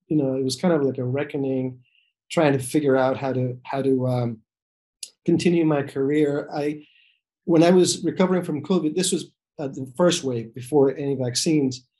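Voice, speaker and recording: A man's voice: mid-range voice, warm tone. A middle-aged man from El Salvador, a physician answering interview questions in a hesitant way with many fillers and restarts, heard over a video call. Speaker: physician guest.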